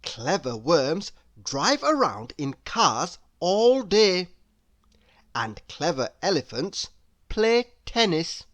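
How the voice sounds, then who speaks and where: Slow, expressive woman reading a storybook. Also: on the low side. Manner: expressive, addresses young listeners with questions. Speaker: storybook reader. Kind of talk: children's read-aloud narration.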